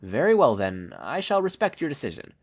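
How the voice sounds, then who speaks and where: A man's voice: medium pitch, huge pitch swings, fairly quick, darkish tone, voice pushed up. A man voicing a kindly, concerned school principal with a polite, formal manner in a story reading. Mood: gentle concern.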